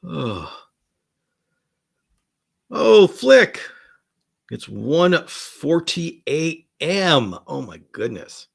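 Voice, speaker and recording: A man hosting a live question-and-answer stream, talking enthusiastically and rambling with many restarts, his voice going hoarse. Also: fairly high pitch, sing-song, extremely slow, long pauses. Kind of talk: live Q&A chat.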